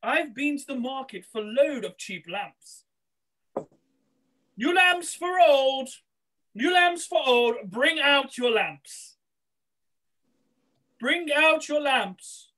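A woman's voice: high voice, animated, slow, long pauses, a bit bright, exaggerated voice, poor audio. A woman playing a scheming male pantomime villain posing as a street seller, hammy and gloating. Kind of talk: rough pantomime read-through. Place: small room at home, video call.

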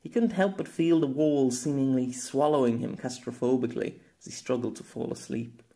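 A man's voice: mid-range voice, brisk, vocal fry, warm tone. A man reading scripted fiction as the narrator of an audio novel.

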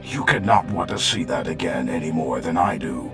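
A man's voice: expressive, moderate pace, faint music behind. A man voicing a solemn, idealistic male robot leader in a fan comic dub, formal and earnest. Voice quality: deep gravelly.

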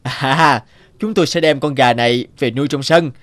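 A man's voice: medium pitch, normal speed, fairly bright tone, lightly acted. An actor playing a crafty, stubborn older village man in a cartoon folktale, arguing in a pointed way. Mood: sly, reproachful.

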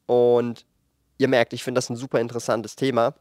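A man's voice: mid-range voice, fairly quick, dry recording. Young man, dry handheld-mic commentary. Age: 20s. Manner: animated, frank, thinks aloud with frequent fillers and restarts. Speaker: finance YouTuber. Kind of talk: casual explanatory commentary to camera. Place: indoor studio room with shelves of collectibles. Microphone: handheld microphone.

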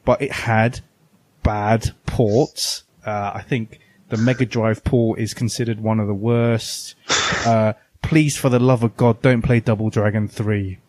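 A man's voice: lowish voice, fairly even intonation, measured pace, a little mellow, dry recording. A man co-hosting a podcast, chatting casually and giving opinions with emphasis. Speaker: podcast co-host. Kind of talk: casual retro gaming chat.

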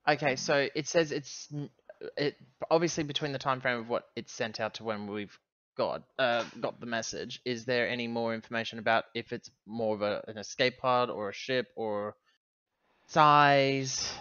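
Clear-voiced man, halting game player. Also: mid-range voice, a bit bright, no echo, lo-fi sound. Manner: halting, thinking aloud. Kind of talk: casual game chat.

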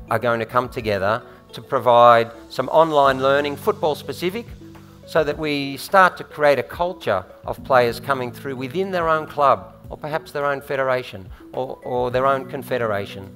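Australian man, middle-aged, measured and emphatic, stresses his words, headset mic, faint music behind. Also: medium pitch, a bit bright. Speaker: panelist, former footballer. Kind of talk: prepared conference speech. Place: large conference stage.

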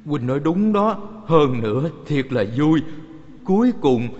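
Happy devout traveler, animated film, higher register, dull echoing audio. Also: male voice, measured pace. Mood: glad. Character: joyful devout male wanderer in Buddhist tale. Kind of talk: dubbed animation acting. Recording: muffled audio with long reverb.

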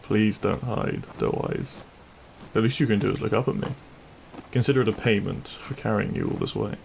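A man playing a cheeky, kind-hearted bandit prince in an intimate audio roleplay, with a gravelly voice and a teasing, reassuring manner. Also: fairly low pitch, moderate pace, a little mellow, a little hiss or hum, poor audio.

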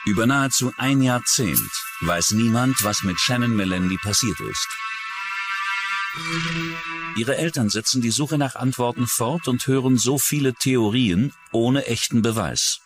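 A man's voice: mid-range voice, unhurried. A man narrating a true-crime documentary in a scripted style. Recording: music under the voice. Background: background music.

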